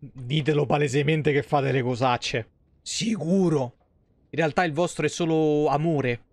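A man with a fairly high voice and a Roman accent chats through a live game stream. He thinks aloud in colloquial, salty language. The sound is dry and close to the microphone. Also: fairly even intonation, measured pace, no echo. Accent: central Italian, Roman. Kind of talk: casual live gameplay commentary. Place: indoor streaming setup at home.

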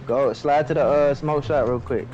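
A man's voice: mid-range voice, fairly even intonation, normal speed, a little mellow. American man on muffled game voice chat. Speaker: online game player. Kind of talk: casual slangy role-play chat. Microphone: online game voice chat. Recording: dull voice chat, faint car noise.

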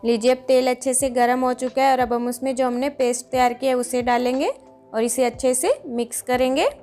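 A woman hosting a home cooking video, patiently explaining each step in a calm instructional voice-over. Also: high-pitched, brisk, faint music behind. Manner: patient, explains each step, often says "dekhiye, lijiye". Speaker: cooking channel host.

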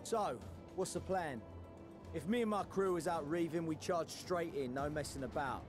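A man playing a blunt, rough-and-ready raider crew leader in a fantasy game, colloquial and plain-spoken. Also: on the high side, moderate pace, music in the background, natural voice.

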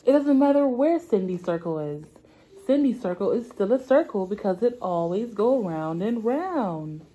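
A woman's voice: mid-range voice, expressive, moderate pace, mellow, slightly rough, low-quality recording. A young woman speaking as a preschool teacher, reading aloud to small children in simple, repeated, stretched-out phrases. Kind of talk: children's read-aloud lesson. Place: indoor classroom.

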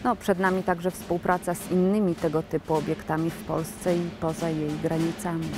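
A woman's voice: fairly low pitch, little pitch movement, moderate pace, music in the background. A middle-aged woman, a cultural centre director, giving a prepared interview statement outdoors. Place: outdoors by a building.

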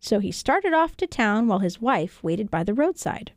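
A woman's voice: mid-range voice, normal speed, dry recording. A woman storyteller narrating a scripted children's tale expressively, recorded in a studio. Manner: expressive, acts out dialogue. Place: radio studio.

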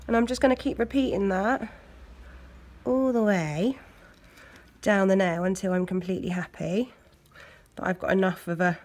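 A woman with an English accent, hoarse from a cold, chatting casually as she talks through a nail art tutorial. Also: medium pitch, steady tone, moderate pace, a little mellow. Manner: chatty, loose, lots of fillers. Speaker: nail technician. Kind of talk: step-by-step tutorial narration.

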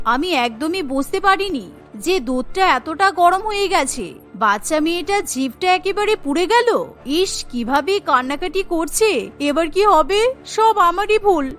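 Woman acting a high-voiced anxious, remorseful grandmother. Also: expressive, a little slow, a bit bright, soft background music, heavily acted. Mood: panicked, guilty. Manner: pleading, self-blaming. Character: remorseful grandmother, anxious and apologetic mother-in-law. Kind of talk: cartoon story acting.

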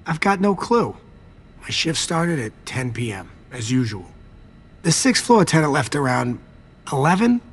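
A servile, jittery vampire garage attendant in a game cutscene. His husky voice swings widely in pitch as he ingratiates himself and dodges around things. He sounds eager to please and easily cowed. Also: full character voice. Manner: pleading, deferential, evasive. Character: nervous, servile young vampire garage attendant hiding a secret. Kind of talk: video game cutscene acting.

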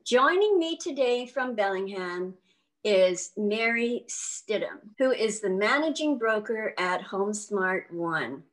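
A woman's voice: medium pitch, animated, slow pace, poor audio. Older woman, interview host, friendly short prompts, webcam call from home. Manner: friendly, brief encouraging prompts. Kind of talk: hosting an interview over video call. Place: home room. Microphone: laptop webcam on video call.

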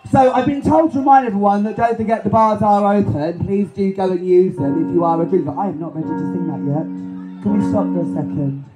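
A man's voice: sits high, a little fast, echoey room, poor audio. A man, performing in drag on a Pride stage and bantering with the crowd through a handheld mic in a chatty, self-mocking, camp way. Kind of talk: live stage banter between songs. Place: stage at an outdoor Pride event. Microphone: handheld stage microphone. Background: loud guitar music.

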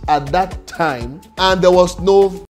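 A man mentoring contestants with a lively, playful storytelling talk full of rhetorical questions. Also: high voice, slow, faint music behind. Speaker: guest speaker, leadership mentor. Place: indoor room, seated.

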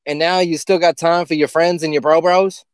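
A man's voice: on the high side, level tone, normal speed, clean tone, no echo. A man co-hosting a podcast in casual comedic banter, speaking in a mocking, incredulous and profane manner. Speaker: podcast co-host.

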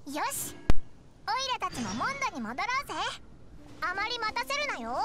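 A woman voicing a tiny, cheeky fairy companion in an anime game, squeaky, childlike, blunt and bouncy. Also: sing-song, fairly quick. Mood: eager. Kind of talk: Japanese anime game voice acting.

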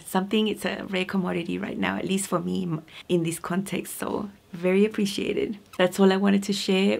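A woman in her forties with a croaky, sore voice, chatting cheerfully to the camera in her sewing room. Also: medium pitch. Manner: cheerful, chatty, laughs often. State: hoarse, sore voice. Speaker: sewing vlogger. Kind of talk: casual vlog chat. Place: home sewing room, indoors.

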